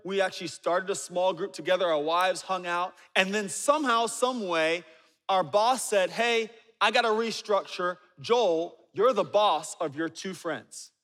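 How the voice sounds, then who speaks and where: A man in his forties preaching a sermon, telling stories with humor and dropping low and quiet for asides. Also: a little slow. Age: 40s. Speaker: pastor. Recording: reverberant hall.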